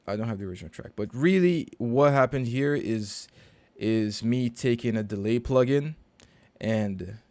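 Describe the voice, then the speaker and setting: A man, a recording and mixing engineer, casually walking through a session in a relaxed, slangy, conversational way. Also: on the low side, no echo. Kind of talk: casual screen-share walkthrough.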